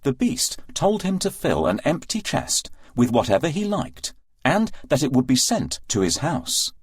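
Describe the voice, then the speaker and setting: A man's voice: mid-range voice, huge pitch swings, unhurried, breathy edge, no room sound, clean studio sound. A man narrating a children's fairy tale expressively, as if reading a storybook aloud.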